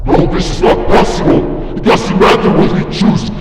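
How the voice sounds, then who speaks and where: A man voicing an anguished, fanatical villain in a game roleplay, breathy, dramatic and despairing. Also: normal speed, noisy background, lightly acted. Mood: menacing, insistent. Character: anguished, fanatical male villain obsessed with claiming a youth. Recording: cavernous echo, distant, music behind.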